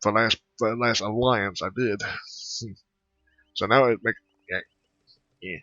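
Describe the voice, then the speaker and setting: Halting man with a low, bright voice. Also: fairly even intonation, dry recording. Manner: halting, rambling. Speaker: gamer recording a playthrough. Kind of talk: casual game commentary. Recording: dull, close dry microphone.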